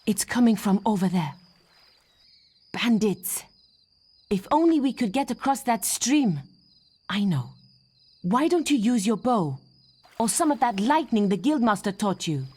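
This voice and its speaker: A woman's voice: on the high side, expressive, unhurried, pauses often, a little mellow, breathy edge. An actress playing a cocky, competitive young female apprentice warrior with a brash, teasing manner in a fantasy video game. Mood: alert, urgent.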